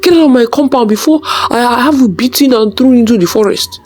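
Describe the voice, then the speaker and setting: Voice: medium pitch, fast, a little mellow, faint music behind, voice pushed up, natural voice. A narrator voicing a heartless, wealthy old man in a folktale, curt and commanding. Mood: angry. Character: cold, heartless, wealthy old grandfather, domineering.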